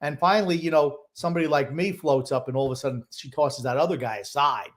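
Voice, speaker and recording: A man's voice: a little high, fast talker. An older man co-hosting a sports podcast, giving opinionated, sardonic takes in a casual, grumbling way.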